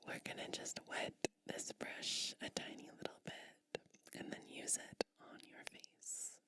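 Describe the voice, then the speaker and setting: Female ASMR performer, gentle witch roleplay: fully whispered, very slow, soothing personal attention. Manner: soothing, gentle, unhurried instructions. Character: caring witch soothing someone to sleep.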